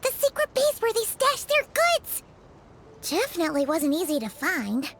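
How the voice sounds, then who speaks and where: A woman voicing a tiny, excitable female floating companion who chirps eagerly and talks about herself in the third person, in a video game cutscene. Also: very high voice, normal speed, slight background noise, full character voice. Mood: excited. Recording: game cutscene with clear background music.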